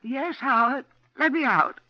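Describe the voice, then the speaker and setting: A woman's voice: high voice, unhurried. An actress playing a frightened older widow, pleading and trying to placate her captor in a 1940s radio drama. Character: frightened old widow held captive at home. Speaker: heroine and narrator. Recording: dull 1940s radio broadcast.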